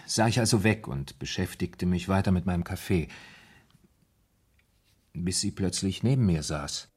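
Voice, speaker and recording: A red-haired former soldier in a radio play, confides his troubled story with earnest deference. The voice is deep and husky, told like a personal account. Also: normal speed, a little mellow. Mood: uneasy, confiding.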